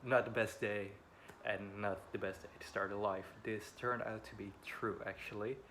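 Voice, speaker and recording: Young man, twenties, Dutch accent, slightly low voice, nervous and halting, faint wind and traffic behind. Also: fairly even intonation, fairly bright tone. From Netherlands. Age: 20s. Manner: hesitant and apologetic, frequent ums. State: a bit nervous. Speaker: vlogger. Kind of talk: personal storytelling to camera. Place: home beside a busy road. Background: faint wind and passing traffic.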